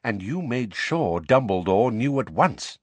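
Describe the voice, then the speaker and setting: A man voicing a reasonable grown man in an audiobook, measured and pointed in manner. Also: medium pitch, very animated, no room sound, voice pushed up. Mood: measured, satisfied. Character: reasonable, calm but sharp grown man peacemaker.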